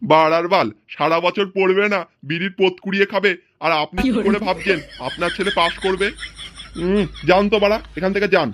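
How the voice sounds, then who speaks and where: A man's voice: sits high, steady tone, brisk, background noise, close to an everyday voice. A man voicing an irritable, foul-mouthed, scolding schoolteacher in a comedy cartoon. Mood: irritated. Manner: scolding, brusque.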